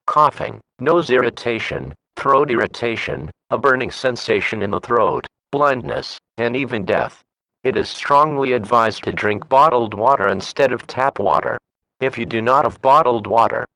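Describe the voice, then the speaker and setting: A man's synthetic voice with a dry, close sound and a middling pitch delivers a formal emergency broadcast announcement. The reading is measured and flat, scripted from start to finish. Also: creaky, dry recording, low-quality recording.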